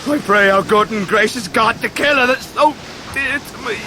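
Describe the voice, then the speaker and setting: An actor playing a heartbroken, honest young fisherman in a period radio drama, halting and deferential. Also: very high-pitched, sharp tone. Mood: anguished. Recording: noisy 1990s radio drama recording.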